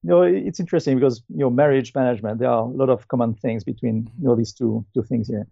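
A man in his fifties with a French accent answers interview questions conversationally, in a hesitant, halting way with many pauses. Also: medium pitch, creaky, dry recording, muffled recording. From France. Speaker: guest, company founder and CEO.

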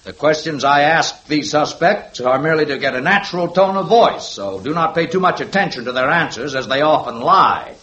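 A brisk, commanding radio police sergeant, higher-pitched voice, echoey old broadcast. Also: male voice, animated, pushed and bright, muffled recording, a little hiss or hum. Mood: firm, official. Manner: firm, orderly, barks instructions. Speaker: police sergeant running the lineup. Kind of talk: 1950s radio drama acting.

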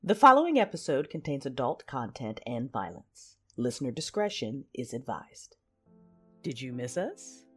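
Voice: mid-range voice, very animated, normal speed, breathy edge. An announcer reading a scripted, dramatic introduction to an audio drama. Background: music.

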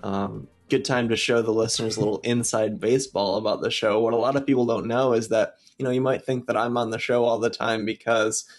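A man's voice: mid-range voice, steady tone, a little fast, few pauses, soft background music. A man co-hosting a casual podcast, joking in a dry way. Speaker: podcast co-host.

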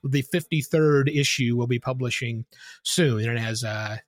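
A man's voice: mid-range voice, moderate pace, dry recording. A man talking as a podcast guest, explaining things in an enthusiastic, casual way. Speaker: podcast guest, newsletter curator. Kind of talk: casual interview talk.

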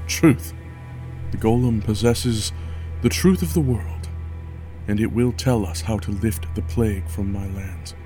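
A man's voice: lowish voice, a little slow, mellow, soft background music, natural voice. An actor playing a proud, persuasive king in a fantasy horror audio drama, speaking in a commanding, coaxing, theatrical way. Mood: grand, intent. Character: proud, persuasive king, husband to an expectant wife.

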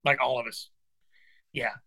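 A man's voice: mid-range voice, fairly even intonation, measured pace, bright, forceful tone, no room sound. A man of fifty, a guest musician chatting casually and earnestly, calling his host brother and dude. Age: 50s. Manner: earnest, encouraging, says brother and dude a lot. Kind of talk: casual chat.

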